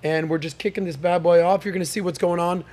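A man's voice: high-pitched, fairly even intonation, quick pace, darkish tone. A man hosting a podcast panel, upbeat and joking, with plenty of fillers and restarts. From United States. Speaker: podcast host. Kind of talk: casual panel talk show hosting.

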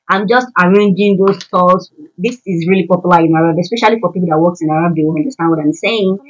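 A woman's voice: medium pitch, dull audio. A woman working as a housemaid, chatting casually to viewers and explaining each task as she cleans tiled rooms in a large home. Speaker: housemaid filming her cleaning routine. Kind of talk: casual vlog narration to viewers.

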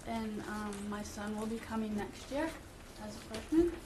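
A middle-aged woman reading a letter aloud at a school meeting, steady and careful, with occasional fillers. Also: a little high, moderate pace. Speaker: parent speaking at public comment. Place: meeting room with audience. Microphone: far room camera. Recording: echoey room, distant camera, background noise.

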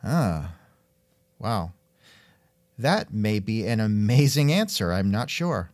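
Animated, wry male interviewer. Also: on the low side, slow, dry recording, clean studio sound. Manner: wry, musing, interjects with hmms. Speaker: podcast host and interviewer. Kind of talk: casual scripted interview chat.

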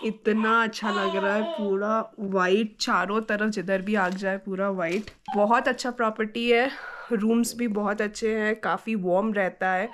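Travel vlogger, woman in her thirties, chatty, slightly high voice, phone at arm's length, faint hiss. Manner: chatty, mixing Hindi and English. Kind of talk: casual travel vlog chat. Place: hotel doorway and car.

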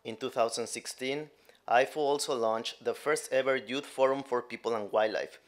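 A man's voice: medium pitch, normal speed. Man giving a formal welcome speech. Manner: formal, reading a script. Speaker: regional representative, opening speaker. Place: conference room with audience. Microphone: podium mic.

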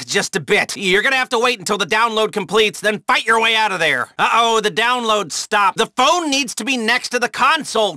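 A wry, upbeat male heist handler from a video game. His voice sits high and is crisp-edged and bright, in a very dry recording. He briefs casually, with little sardonic asides. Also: no echo, heavily acted. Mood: wry, exasperated. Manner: informal, wry, hesitant asides. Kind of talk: video game mission dialogue.

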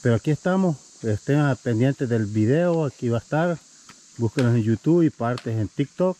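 A man's voice: medium pitch, expressive, moderate pace, dark tone, slight background noise, rough recording. Man vlogging on a wooded trail. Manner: chatty, addresses viewers as "mi gente". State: a little out of breath from climbing. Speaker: family vlogger. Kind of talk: casual hiking vlog narration. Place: wooded hiking trail outdoors. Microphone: action camera held at arm's length.

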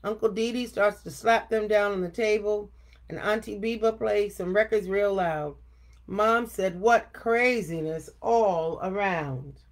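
An older woman reading a children's picture book aloud expressively, with deliberate, emphatic phrasing. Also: medium pitch, steady tone. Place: home office room indoors. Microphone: webcam at desk distance.

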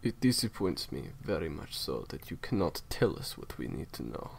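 A man's voice: rumbling low, big pitch swings, normal speed, gravelly, a little hiss or hum, heavily acted. A man playing a menacing, cold villain in an audio roleplay, speaking in formal, theatrically polite threats. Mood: quietly threatening. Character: menacing, courteous male villain, cold captor. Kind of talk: roleplay audio drama monologue.

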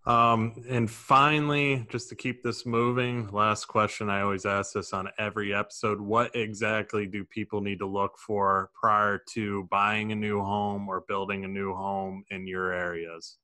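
An American man hosts a panel podcast from a small studio. His voice is middle-low and notably bright and crisp in edge, picked up on a close desk microphone. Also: flat delivery, a little slow, hardly any pauses. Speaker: podcast host. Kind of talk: moderating a panel discussion. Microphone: large desk microphone, close.

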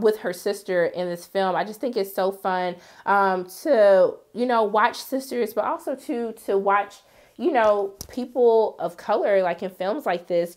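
A young woman reviewing a film in a sassy, animated way, her voice strained from being sick. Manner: sassy, animated, jumps high for quoted asides. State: sick, voice partly lost. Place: indoor studio, graphic backdrop.

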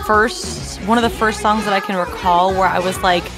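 A woman's voice: medium pitch, steady tone, moderate pace, over music. A woman talking casually as one of a group of voice actors giving commentary. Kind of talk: casual group reaction chat.

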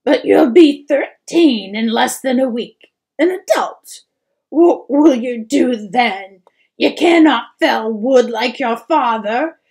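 A woman's voice: a little high, takes it slowly, darkish tone, pitched above the performer's own voice. A woman voicing a tender mother wood troll who is weeping and speaks in a faltering, pleading way in a storybook reading. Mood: tearful. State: tearful.

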